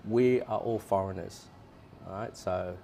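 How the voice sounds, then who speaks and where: A man's voice: sits low, unhurried, gravelly, mellow, a little hiss or hum. A man from Australia, a guest in a casual interview, earnestly giving plain, repeated advice to travelers.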